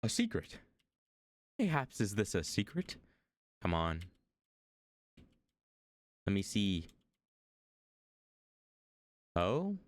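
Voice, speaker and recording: A man's voice: on the low side, huge pitch swings, drawn-out words, pauses often, no room sound. A young man streaming as he reacts to a video, talking casually, rambling and hesitant, with lots of fillers.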